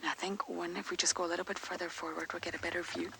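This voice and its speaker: A woman guiding a live game drive, speaking quietly and often in a hush as she points out animals from an open vehicle. Her voice sits low, with faint outdoor noise behind her. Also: flat delivery, rapid-fire, gravelly. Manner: soft-spoken, often hushed. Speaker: safari guide. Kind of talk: live wildlife commentary.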